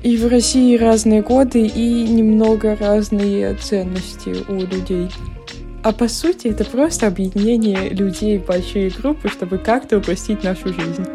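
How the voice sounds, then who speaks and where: Young woman in her 20s explaining stuff plainly, medium pitch, music under her. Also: steady tone, normal speed. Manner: matter-of-fact, explains facts. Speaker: podcast co-host. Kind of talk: casual chat.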